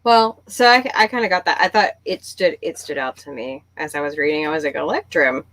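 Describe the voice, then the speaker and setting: A woman's voice: deep voice, a little fast, fairly bright tone. A woman hosting a casual book club discussion, talking conversationally and thinking aloud as she goes.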